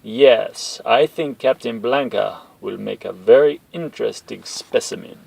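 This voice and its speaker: A man voicing a slow, menacing warlord general. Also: medium pitch, creaky, a little mellow, poor audio. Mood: cold. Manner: slow, drawn-out threats. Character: menacing male warlord general, cold and commanding.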